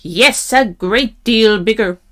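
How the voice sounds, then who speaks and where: A woman's voice: on the high side, takes it slowly, a bit bright. A woman voicing an anxious middle-sized male goat from a storybook, hesitant and pleading. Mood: meek.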